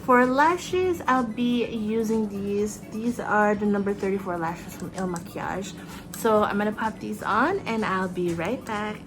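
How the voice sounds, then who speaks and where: Slow-talking Filipino woman vlogger. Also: medium pitch, music in the background. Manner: chatty, bubbly, talks while applying makeup. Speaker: makeup vlogger. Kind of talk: casual makeup tutorial narration. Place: small bedroom with fairy lights.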